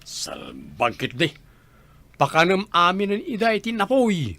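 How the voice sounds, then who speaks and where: A man's voice: high-pitched, huge pitch swings, unhurried, gravelly, bright, forceful tone, dry recording, exaggerated voice. A man playing a flippant, irresponsible young husband in a radio drama, swaggering and dismissive.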